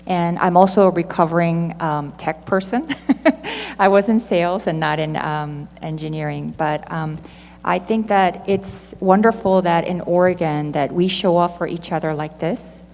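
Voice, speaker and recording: Woman panelist, lower register, informal introduction, recorded in an echoing hall with slight hum. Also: a little slow, vocal fry, darkish tone. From Southern California. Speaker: nonprofit executive director, panelist. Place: large reverberant hall at a conference. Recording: noisy hall with long reverb, hum.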